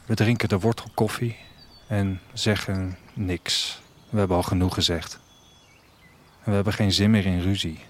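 A man playing a restless, wistful traveller, narrating his story in a reflective, measured literary audio drama style. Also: sits low, steady tone. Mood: subdued, wistful. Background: music.

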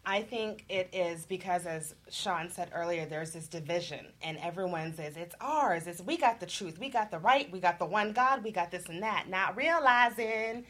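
A woman's voice: lowish voice, normal speed, few pauses, fairly bright tone. A woman speaking as a panelist in a casual discussion, earnest, folksy and encouraging. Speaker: talk show panelist.

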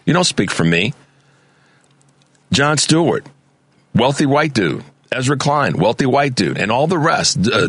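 Fervent male radio host, very deep voice, dry close studio sound, preachy monologue delivery. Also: fairly bright tone, no room sound. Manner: fervent, preachy, repeats words when stirred. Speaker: radio talk show host. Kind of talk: impassioned political talk radio monologue. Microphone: studio mic.

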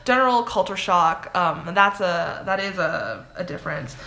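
A woman's voice: on the low side, lively intonation, normal speed, bright, forceful tone. A young woman from Canada, a guest in a casual interview, who talks in a chatty way with many fillers. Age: young adult.

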